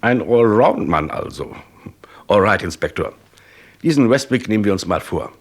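An actor playing a brisk, authoritative Scotland Yard chief in a German radio crime drama, with clipped, commanding delivery and a husky voice. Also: male voice, fairly low pitch, lively intonation. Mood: decisive, commanding.

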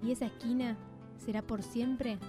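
A woman's voice: fairly high pitch, fairly even intonation, normal speed, lightly acted. A woman playing a wistful storyteller, reading scripted radio play narration. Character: wistful female storyteller closing a magical tale. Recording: guitar music behind the voice.

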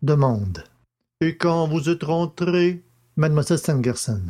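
A man plays a courteous examining magistrate in an audiobook mystery. The questions come slowly and deliberately, with strong swings of pitch. The manner is formal and methodical. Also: animated, darkish tone. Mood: methodical, probing. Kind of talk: audiobook character acting.

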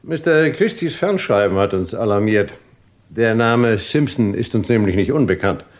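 A man's voice: on the low side, expressive, moderate pace, natural voice. A man playing a courteous Scotland Yard sergeant who questions politely but insistently in a 1970s German radio crime drama.

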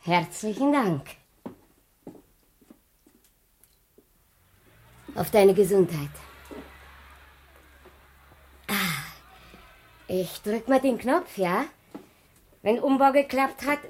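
A woman's voice: mid-range voice, animated, unhurried, a little mellow, a little hiss or hum, close to an everyday voice. Actress as a gossipy circus woman, swooping intonation. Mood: chatty. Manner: gossipy, broken German. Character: gossipy middle-aged former circus snake dancer, theatrical and nosy. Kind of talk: radio play acting.

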